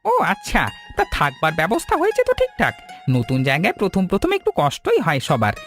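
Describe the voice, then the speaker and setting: A chatty older tea stall man voiced by a woman, with a creaky voice that swoops wildly in pitch. He is inquisitive and sympathetic, a cartoon storybook character with faint music behind. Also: huge pitch swings, fairly quick. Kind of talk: animated storybook cartoon dialogue. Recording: music bed under the voice.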